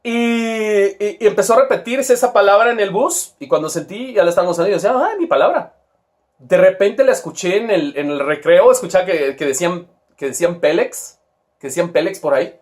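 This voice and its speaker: A man's voice: sits high, expressive, brisk. A middle-aged man with a Guatemalan accent, telling a rambling, crude story in casual slang. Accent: Guatemalan Spanish. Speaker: toy seller and vlogger.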